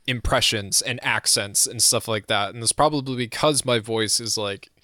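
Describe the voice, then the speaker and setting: A man's voice: medium pitch, moderate pace, pushed and bright, no echo, clean studio sound. A young man co-hosting a podcast in casual chat, rambling with lots of fillers and breaking into playful impressions. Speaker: podcast co-host.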